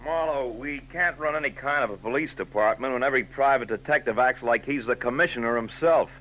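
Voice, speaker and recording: An American man acting a gruff, sardonic, exasperated police lieutenant in a 1940s radio detective drama. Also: medium pitch, a little fast, bright, forceful tone, close to an everyday voice. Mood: annoyed. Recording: noisy, humming old 1940s radio recording.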